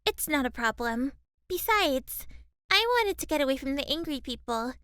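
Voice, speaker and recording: A woman's voice: high-pitched, animated, moderate pace, no echo, clean studio sound. A woman voicing a timid, anxious, sweet teenage girl in an anime-style audio drama, with a hesitant, childlike manner. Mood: shy, gentle. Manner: hesitant, soft-hearted, childlike.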